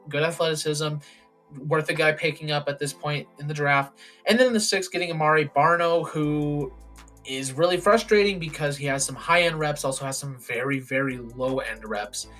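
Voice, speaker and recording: A young man giving casual, opinionated football draft commentary, his voice hoarse and raspy. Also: a little high, pushed and bright. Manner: opinionated, plain-spoken with frequent ums.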